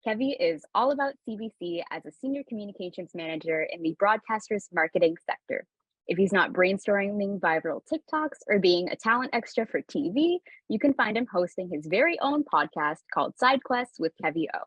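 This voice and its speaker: A woman hosting a webinar, reading a scripted introduction of the guest in a warm, lively tone over a video call. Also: medium pitch, a little fast, breathy edge, no room sound. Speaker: webinar host. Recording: dry, dull lo-fi video call.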